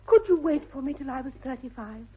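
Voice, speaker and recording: High-voiced, girlish young lady. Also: expressive, brisk, narrow, tinny audio. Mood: coaxing. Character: sweet, impatient well-bred young lady. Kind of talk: 1960s British radio comedy acting. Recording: thin vintage radio recording.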